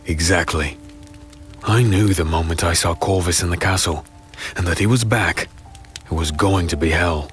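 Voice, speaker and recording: A man playing a weary, brooding fantasy hero who narrates his own dark tale in a deliberate, somber, dramatic way. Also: low voice. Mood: weary, quiet. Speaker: narrator and lead character. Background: music.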